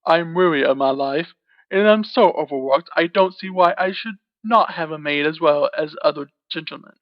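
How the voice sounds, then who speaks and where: A woman reading a storybook aloud and voicing a gruff, bossy male giant who talks slowly and menacingly in a low, loud voice. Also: dry recording, natural voice. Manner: booming, slow and menacing. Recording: dull, dry lo-fi recording.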